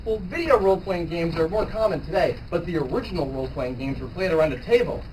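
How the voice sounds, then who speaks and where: High-pitched man reading aloud, noisy distant recording. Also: animated, quick pace, a little mellow. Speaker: co-reader. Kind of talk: live comic manga reading with narration. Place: live convention reading. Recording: noisy convention recording, far from mic.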